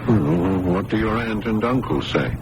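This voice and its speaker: A man playing a calm, careful physician in a 1980s radio drama, speaking in a measured, hesitant way. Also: on the low side. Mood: measured, concerned. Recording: noisy old radio broadcast recording.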